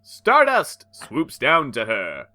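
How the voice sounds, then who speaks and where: Bright, slow, animated male narrator. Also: fairly high pitch. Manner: laughing, playfully emphatic narration. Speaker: podcast co-host and narrator. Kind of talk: comic dramatic reading narration.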